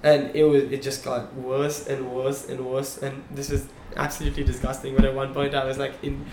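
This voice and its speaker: A man's voice: medium pitch, moderate pace. Podcast co-host, young male, chatty storyteller, roomy echo. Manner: animated storyteller, checks in with "okay? right?". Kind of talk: casual storytelling chat. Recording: echoey room, faint background hum.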